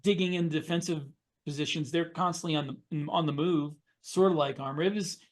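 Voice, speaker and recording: A man's voice: on the high side, steady tone, fairly quick. An American man, a podcast co-host, talking casually in a discussion. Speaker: podcast co-host, military science fiction author.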